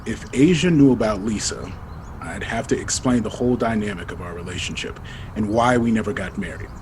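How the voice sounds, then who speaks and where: A man's voice: fairly low pitch, fairly quick, darkish tone, a little hiss or hum, close to an everyday voice, low-quality recording. A man acting in an audio drama as a guarded, regretful husband who talks in a colloquial, defensive way. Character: married man hiding an old engagement, guarded and regretful.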